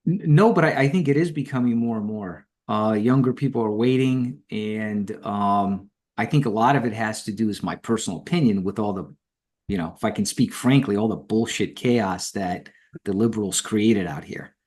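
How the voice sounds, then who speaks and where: A man's voice: fairly low pitch, normal speed, darkish tone. A middle-aged man, a guest from Romania, talking frankly and easily on a remote call, often chuckling. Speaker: podcast guest, copywriter. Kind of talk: casual conversation.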